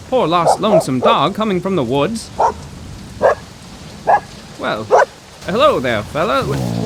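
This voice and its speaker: Man playing high-voiced, excitable 1930s professor. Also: exaggerated voice. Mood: curious, friendly. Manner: theatrical, wordy, old-fashioned exclamations. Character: excitable, bookish 1930s male poetry professor, stranded motorist. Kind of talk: old-time radio drama acting. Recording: rain and road noise.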